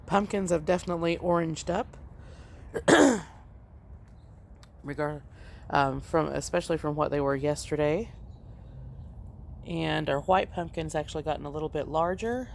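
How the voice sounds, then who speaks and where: A woman's voice: low-pitched, creaky, slight background noise. Slightly congested woman touring her garden. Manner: unhurried and plain-spoken, with frequent ums. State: slightly congested, recovering from illness. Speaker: home gardener hosting a garden channel. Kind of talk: casual walk-through garden update. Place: outdoor backyard garden.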